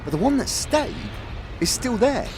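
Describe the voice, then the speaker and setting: A man's voice: extremely high pitch, sing-song, moderate pace, noisy background, voice pushed up. A man voicing a friendly, easygoing teenage boy smith who talks in street slang in a fantasy audio drama. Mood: friendly, chatty. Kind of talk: audio drama character acting.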